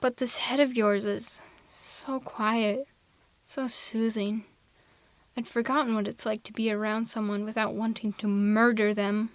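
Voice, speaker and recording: A woman playing a haughty, sardonic telepathic villain in an ASMR roleplay audio drama, theatrical and quick to justify herself. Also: on the high side, little pitch movement, dry recording, natural voice. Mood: wry, softening. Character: female telepathic villain, haughty, misanthropic, secretly soft-hearted. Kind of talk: ASMR roleplay audio drama, close one-sided dialogue.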